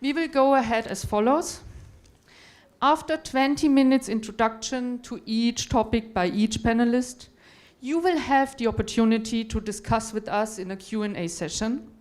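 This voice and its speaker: A woman's voice: a little high, normal speed, darkish tone. A woman from Europe moderating a conference panel, giving formal, courteous introductions of the speakers. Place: conference hall.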